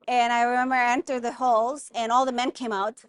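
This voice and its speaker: Earnest woman, slightly high voice. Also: flat delivery, brisk. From Guatemala. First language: German. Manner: thoughtful, earnest, many fillers and restarts. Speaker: guest, mining consultant. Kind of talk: conversational interview.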